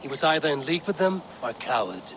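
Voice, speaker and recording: An actor playing a stern Viking warrior questioning suspects in a video game, terse and firm. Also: male voice, a little high, very animated, fast talker, noisy background. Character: stern, probing Viking warrior investigating a betrayal. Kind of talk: video game dramatic acting.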